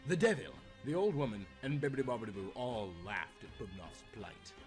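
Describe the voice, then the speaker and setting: A man's voice: medium pitch, big pitch swings, brisk. A man reading a scripted tale as a theatrical, dramatic storyteller. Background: music.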